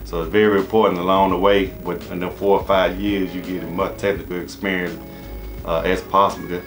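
An American man with a deep voice gives informal advice as a channel host. He rambles and repeats himself in a casual, conversational way. Music plays behind his talk. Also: level tone, a little fast. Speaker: HVAC business coach and channel host. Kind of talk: casual advice monologue to camera. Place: small indoor office.

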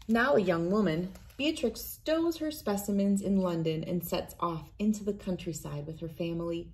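A woman in her thirties reading a children's picture book aloud in a deliberate, expressive storytelling manner. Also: on the low side. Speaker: read-aloud host. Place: indoors, wood-panelled room with large windows.

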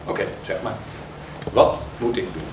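A man's voice: lowish voice, normal speed, lightly acted. A man voicing a cheeky, bossy male friend who teases in a mocking, gleeful way. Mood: cheerful. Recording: echoey room, mic far off, noisy.

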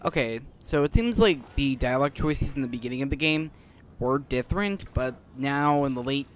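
A man's voice: mid-range voice, expressive, normal speed, slight background noise. A man hosting a gameplay video, giving casual commentary and reading dialogue aloud. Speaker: gaming video host. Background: game music.

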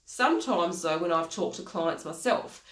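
A woman's voice: lowish voice, lively intonation, moderate pace. Aussie woman life coach, stuffy nasal voice from a cold, talks to camera in a small echoey home office. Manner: earnest, repeats key phrases, gestures with hands. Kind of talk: motivational talk to camera. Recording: echoey room.

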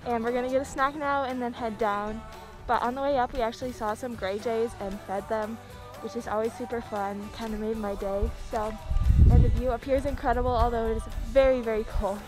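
A young woman in her twenties, a bit hoarse from losing her voice, chatting casually to a handheld camera on a snowy mountain trail. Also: fairly high pitch, steady tone, fairly quick, over music. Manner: chatty, frequent "like" and "super". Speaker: hiking vlogger. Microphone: handheld action camera at arm's length.

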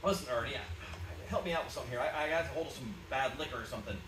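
A man's voice: mid-range voice, very fast, natural voice. A man playing a bewildered, pleading family man in a live radio play, stammering and urgent, recorded from the audience. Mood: frantic. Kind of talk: live 1940s-style radio play acting. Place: small theatre stage, indoors. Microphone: far camera in the audience. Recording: roomy, distant, noisy theatre audience recording.

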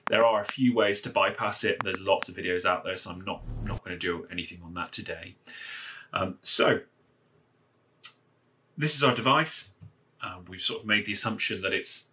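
A man's voice: deep voice, pushed and bright. A man casually walking viewers through a phone reset step by step, with lots of fillers and restarts, recorded indoors at a desk. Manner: rambling, many fillers and restarts. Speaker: phone repair tutorial presenter. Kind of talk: casual how-to walkthrough. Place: indoors at a wooden desk, filming a phone from above.

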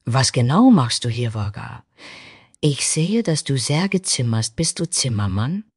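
Man playing a husky, direct woman soldier. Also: medium pitch, sing-song, unhurried, no room sound, clean studio sound, pitched above the performer's own voice. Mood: curious, courteous. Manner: direct, matter-of-fact. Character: direct, practical female soldier adventurer.

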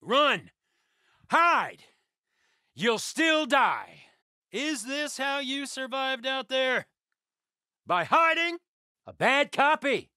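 A man's voice: very high-pitched, very animated, extremely slow, pauses often, smooth, no echo, clean studio sound, heavily acted. An actor playing a sadistic, gloating male villain in a video game, taunting his prey with menacing glee. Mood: mocking, aggressive. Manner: taunting, gleeful, menacing, swinging from murmurs to shouts. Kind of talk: video game combat voice lines.

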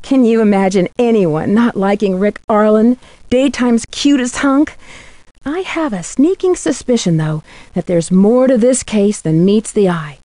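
A woman's voice: mid-range voice, measured pace, warm tone, no room sound, close to an everyday voice. A young American woman voicing an inquisitive, teasing amateur detective in a video game, in scripted lines. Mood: chatty, intrigued. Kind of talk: scripted game dialogue and letter narration.

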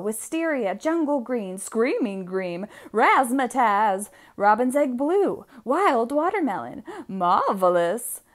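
A woman reading a picture book aloud for children, expressively, with deliberate, drawn-out emphasis on key words. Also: fairly high pitch. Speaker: read-aloud storyteller.

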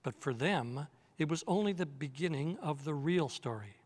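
A man in his sixties reading a children's novel aloud in a measured, steady storytelling manner, seated in an armchair on a studio set. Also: on the high side, breathy edge, long reverb tail. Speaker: reader.

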